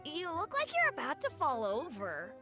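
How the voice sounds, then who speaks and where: A woman's voice: high voice, wildly swooping pitch, moderate pace, over music, full character voice. A woman voicing an eager, innocent little boy who talks in an earnest, pleading, childlike way. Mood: worried. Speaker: game character.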